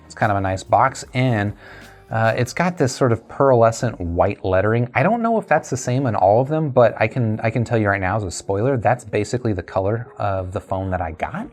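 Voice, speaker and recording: A man's voice: lowish voice, expressive, fairly quick, soft background music. A man chatting casually through a tech review and unboxing, conversational and thinking aloud as he goes.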